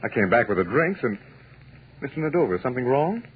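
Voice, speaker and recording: An actor playing a breezy young bachelor in 1940s radio drama, chatty, courteous and affable. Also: male voice, mid-range voice, sing-song, fast talker, a little hiss or hum, close to an everyday voice. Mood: puzzled, joking. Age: young adult. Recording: tinny narrow 1940s radio broadcast.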